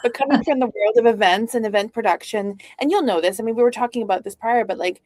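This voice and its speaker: A woman's voice: a little high, fast talker, darkish tone, no room sound. A middle-aged woman from Canada, a company founder chatting casually in an interview over a video call, witty and storytelling. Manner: witty, storytelling, uses "like" often. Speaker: guest speaker, founder.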